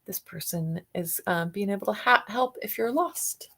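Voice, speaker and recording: A woman's voice: medium pitch, normal speed, sharp tone. A woman talking as a teacher to children, explaining off the cuff, guessing aloud and putting questions to her listeners. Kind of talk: children's read-aloud discussion, unscripted explaining.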